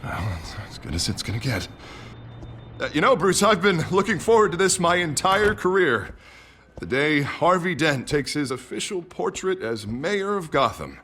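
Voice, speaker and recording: An actor playing a troubled American city mayor with a split, volatile personality in a video game, his voice husky and strained. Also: on the high side, big pitch swings, moderate pace, fairly bright tone, full character voice. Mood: rueful.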